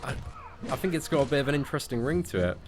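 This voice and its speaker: A man's voice: mid-range voice, lively intonation, very fast, soft background music. A British man hosting a gaming livestream, chatting casually with friendly banter and lots of filler words. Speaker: livestream host playing a mobile game. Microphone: desk microphone.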